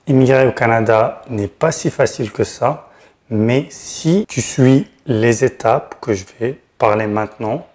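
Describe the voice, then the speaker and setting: A man hosts an explanatory advice video at a medium pitch. He addresses the listener directly and frankly, leaning hard on the words that matter. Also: a little slow, darkish tone. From Tunisia. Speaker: channel host, regulated immigration consultant.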